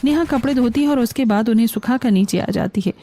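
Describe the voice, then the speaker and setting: Higher-pitched, mellow woman storyteller. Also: quick pace. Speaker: story narrator. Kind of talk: scripted Hindi cartoon story narration.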